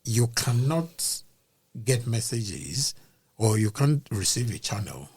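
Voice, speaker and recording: A man who is a podcast guest, explaining ideas in a deliberate way and using everyday comparisons. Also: mid-range voice, very animated, darkish tone, husky.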